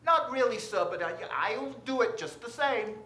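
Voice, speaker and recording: A woman's voice: a little high, expressive, normal speed, fairly bright tone, a little hiss or hum. A woman playing a nervous, eager married man who works in a store, stammering and deferential in a radio drama. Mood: deferential, puzzled. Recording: roomy stage recording.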